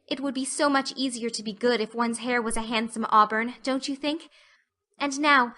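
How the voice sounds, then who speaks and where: A woman's voice: fairly high pitch, sharp tone. A woman voicing an earnest, dreamy, talkative orphan girl with effusive, flowery speech in an audiobook. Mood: grateful, dreamy. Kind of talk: audiobook acting.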